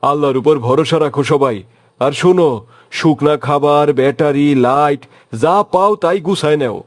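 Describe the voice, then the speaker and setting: Man playing higher-voiced kindly village father. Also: a little slow, lightly acted. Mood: kind, steady. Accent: rural Bangladeshi. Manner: plain, caring, take-charge. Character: kind, practical village father, steady and devout.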